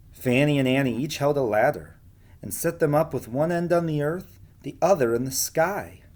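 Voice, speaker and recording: A man's voice: mid-range voice, darkish tone. Man reading a picture book aloud. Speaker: schoolteacher reading aloud to students. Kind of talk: picture-book read-aloud and casual class talk.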